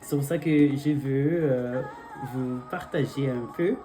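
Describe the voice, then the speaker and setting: A young man who preaches as a pastor in French, his second language, with an English-speaking accent, speaking haltingly with many fillers and repeated invocations during prayer and scripture reading. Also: mid-range voice, mellow, soft background music. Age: young adult. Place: church.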